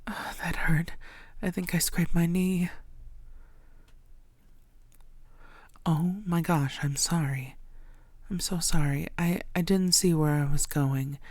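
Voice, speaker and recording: A woman playing an infatuated, predatory female vampire in an intimate audio roleplay, murmuring in a deliberate, theatrical and often breathy way. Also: on the low side, steady tone, no room sound. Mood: flustered, apologetic.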